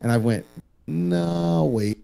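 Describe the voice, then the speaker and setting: A man's voice: mid-range voice, animated, slow pace, gravelly, mellow, clear, resonant tone. A man in a casual panel chat, talking in an emphatic, opinionated way and swearing freely. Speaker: podcast panelist.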